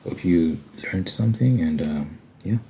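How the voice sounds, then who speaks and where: A man's voice: low voice, lively intonation, measured pace, vocal fry, very noisy. A man speaking in an informal ASMR religious style, earnest and conversational, with repeated phrases for emphasis. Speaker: ASMR Bible study host. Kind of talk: ASMR, informal religious talk ending in prayer.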